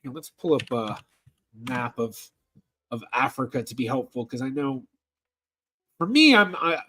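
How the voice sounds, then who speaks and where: A man hosting a casual livestream Q&A as a genealogist, talking in a halting way with many ums and restarts as he reads viewer questions aloud. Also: medium pitch, animated, bright, forceful tone, slight background noise.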